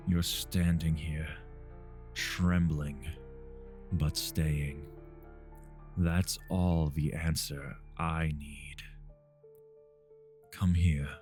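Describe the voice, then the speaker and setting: A possessive vampire lord, acted by a man, speaks in a low, dark voice over music. He is seductive and commanding in gothic ASMR style, drawing out every phrase very slowly and close to the mic. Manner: slow, hushed, deliberate, commanding.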